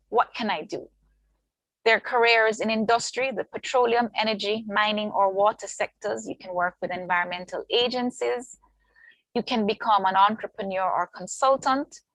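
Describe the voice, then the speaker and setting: Woman lecturer, gravelly voice, dry video-call sound, measured listing delivery. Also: medium pitch, a bit bright, dry recording, rough recording. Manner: measured, list-like delivery with frequent ums. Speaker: university lecturer presenting her department. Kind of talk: online orientation presentation.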